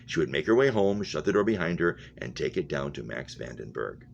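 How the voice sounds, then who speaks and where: A man's voice: extremely low pitch, fairly quick, vocal fry. A man reading a novel aloud, stumbling over words now and then and going back over phrases. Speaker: reader, English teacher. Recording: muffled lo-fi audio with humming noise.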